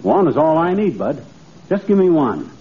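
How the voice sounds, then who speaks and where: An actor playing a cheerful, gullible middle-aged braggart in a folksy, chuckling, excitable old-time radio comedy style. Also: male voice, fairly high pitch, moderate pace. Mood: eager. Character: boastful, cheerful, gullible middle-aged small-town man. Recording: dull, noisy old radio recording.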